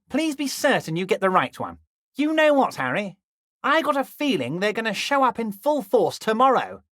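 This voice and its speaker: A scheming middle-aged union boss from a video game, talks with a high, lilting voice that swoops up and down. He is sugary and falsely friendly, and he dips down low whenever he says his listener's name. The sound is close and dry, with no room around it. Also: fairly bright tone, no room sound. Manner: sing-song and falsely friendly, sinking low on the name he keeps repeating. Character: smooth-talking, manipulative middle-aged male union boss. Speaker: game character.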